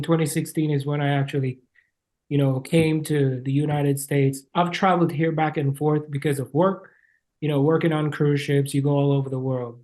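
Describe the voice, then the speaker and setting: A man's voice: fairly high pitch, warm tone. A man from Jamaica with a Jamaican accent, a podcast guest telling his life story in an earnest, casual way, full of "you know" fillers.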